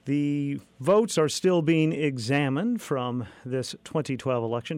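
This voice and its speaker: A male radio host asking a guest questions in a friendly, unhurried way. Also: mid-range voice, darkish tone, dry recording. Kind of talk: radio interview.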